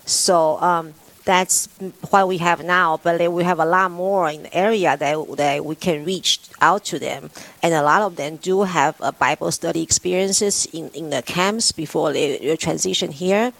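Brief: woman guest, Mandarin native speaker, low gravelly voice, earnest and halting. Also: no room sound, poor audio. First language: Mandarin Chinese. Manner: plain, earnest, many pauses. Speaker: guest in pastoral ministry. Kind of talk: radio interview answers.

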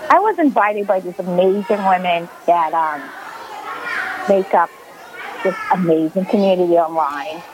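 A woman's voice: lowish voice, animated, unhurried, a crowd in the background, background noise. A woman in her forties from Brazil, a guest on a radio call-in interview, telling her story earnestly with many fillers. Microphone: phone call.

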